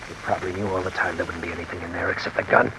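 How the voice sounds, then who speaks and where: A man's voice: low voice, level tone, very fast. An actor playing a nervous, suspicious man mixed up in a robbery, clipped and agitated, in 1950s radio drama style. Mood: agitated, accusing. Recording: muffled noisy vintage radio, rain behind.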